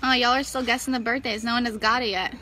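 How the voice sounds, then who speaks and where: A woman's voice: fairly high pitch, fairly even intonation, fast, sharp tone, rough recording. A 21-year-old woman chatting casually and playfully on a phone livestream, reading out viewer comments. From New Jersey, US. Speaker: livestream co-host, wrestler. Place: small indoor room. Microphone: phone camera held close.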